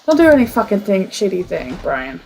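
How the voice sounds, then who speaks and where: A woman's voice: mid-range voice, big pitch swings, brisk, vocal fry, a little mellow, a little hiss or hum. A woman gamer giving casual let's-play commentary, hesitant and questioning herself, with some swearing. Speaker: gamer playing a story game.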